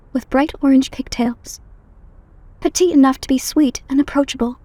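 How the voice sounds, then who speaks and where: A woman's voice: sits high, darkish tone. A woman voicing a sinister, obsessive robot girl who yearns for a father's love, delivered as a dramatic comic dub monologue.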